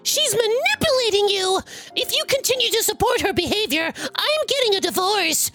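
Spiteful storytime mother: very high, shrill, swooping accusations over background music. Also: very animated, fairly bright tone, exaggerated voice. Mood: furious, accusing. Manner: shrill, drawn-out scolding. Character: enraged, spiteful, accusing mother berating her child. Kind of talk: acted storytime dialogue.

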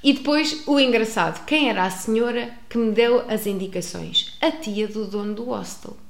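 A woman with a European Portuguese accent telling a personal travel story to an audience, expressive, with many restarts. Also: on the high side, moderate pace, gravelly. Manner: expressive, acts out dialogue, frequent restarts. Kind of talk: animated storytelling. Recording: roomy echo.

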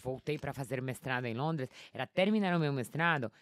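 A woman's voice: very deep voice, animated, fairly quick, dry recording, clean studio sound. A woman guest in casual conversation, telling her story in a chatty way with lots of fillers and acted-out quotes. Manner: chatty, many fillers, acts out quotes with laughter.

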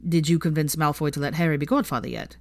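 A gentle, awkward young man in audiobook dialogue , as a woman reader puts him on. He talks casually and hesitantly, rueful and a bit blunt, on the lower side in a dry, close sound. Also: fast talker, vocal fry, dry recording. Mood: teasing.

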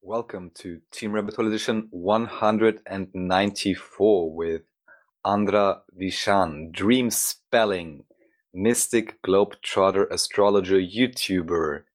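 Man, slightly deep voice, podcast co-host, scripted presenting, reading aloud. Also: a little slow.

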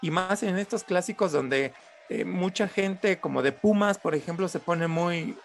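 High-voiced Mexican man in discussion. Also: measured pace, creaky, a little hiss or hum. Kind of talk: casual panel discussion about sport.

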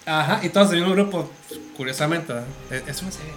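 A man's voice: on the high side, quick pace, loud music behind the voice. A man with a Mexican accent chatting casually and explaining things at length, often restarting his sentences. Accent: Mexican Spanish. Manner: explanatory, stumbles and restarts while building a point. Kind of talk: casual chat about an anime series.

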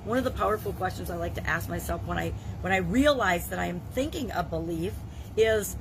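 A middle-aged woman with a gravelly voice from a cold, leading a self-help challenge in an earnest, conversational way. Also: on the low side, brisk, background noise. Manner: earnest, conversational, with frequent restarts. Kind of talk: motivational talk to camera.

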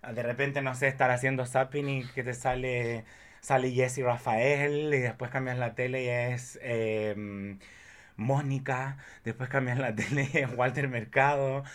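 Chilean man in his thirties, podcast guest, medium pitch, effusive and rambling, conversational. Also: fairly even intonation, unhurried, fairly bright tone. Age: 30s. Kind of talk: casual chat.

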